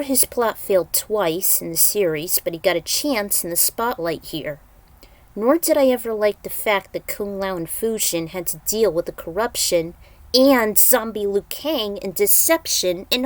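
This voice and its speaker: A woman's voice: fairly high pitch, no echo. A woman giving casual, rambling commentary as a fan fiction writer and host, with a blunt, griping manner.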